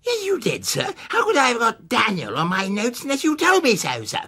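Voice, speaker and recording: Guy doing a cranky fat little judge in a radio play, pompous, snappy, rough voice, pitch jumping about. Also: wildly swooping pitch, brisk, bright, forceful tone, exaggerated voice. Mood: testy. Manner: pompous, peremptory questioning. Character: short, fat, testy, muddled male judge.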